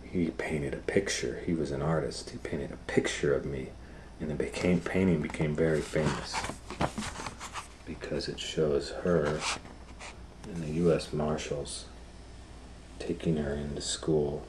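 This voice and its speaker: A man reading a children's book aloud as a storytime educator, in simple, deliberate phrases with explanatory asides. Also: a bass voice, fairly even intonation, background noise.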